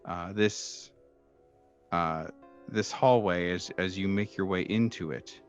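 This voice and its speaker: A man working as game master, improvising descriptive narration for a horror tabletop game in a halting, hesitant way. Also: low voice, muffled recording. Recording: music playing behind the voice. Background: soft background music.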